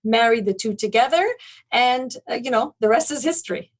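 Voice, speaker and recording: A woman's voice: on the high side, animated, moderate pace. A woman speaking as an interview guest, recounting things in an articulate, detailed, conversational way. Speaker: interview guest, event planning business owner.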